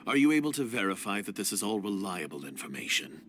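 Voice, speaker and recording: An actor playing a cold, calculating secret agent in a video game, speaking in a hushed, partly whispered way. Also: male voice, fairly low pitch, very animated, quick pace. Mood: conspiring. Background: game music.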